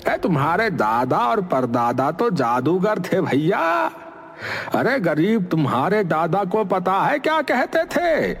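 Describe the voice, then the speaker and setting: A man's voice: high-pitched, sing-song, slow, slightly rough, exaggerated voice. A man playing a chatty older village headman in a cartoon story, rustic, familiar and nosy. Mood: folksy, emphatic. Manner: rustic, familiar, inquisitive, emphatic. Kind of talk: Hindi cartoon story acting. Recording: reverberant hall sound with faint music.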